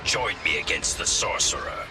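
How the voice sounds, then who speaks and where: An actor playing a stern, suspicious warrior commander in a video game, with clipped and formal speech. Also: male voice, extremely low pitch, very animated, normal speed, gravelly, loud music behind the voice, exaggerated voice. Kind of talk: video game cinematic voice acting.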